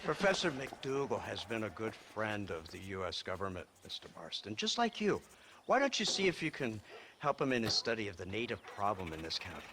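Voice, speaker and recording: A man's voice: on the low side, very animated, brisk, noisy background. A man playing a smug, condescending government agent of the old West in a video game cutscene. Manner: sardonic, condescending.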